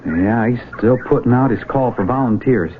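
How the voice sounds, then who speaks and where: An actor in a 1970s radio drama playing an easygoing, wry young American country fellow with folksy banter. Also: male voice, mid-range voice, normal speed, lightly acted. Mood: agreeable. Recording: phone-like lo-fi old radio, hiss.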